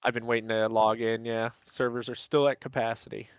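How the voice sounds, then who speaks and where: A man's voice: medium pitch, little pitch movement, a little fast, clean tone, no echo, lo-fi sound. A man chatting casually about games in a laid-back, slangy way, swearing often as he explains things. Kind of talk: casual gaming chat.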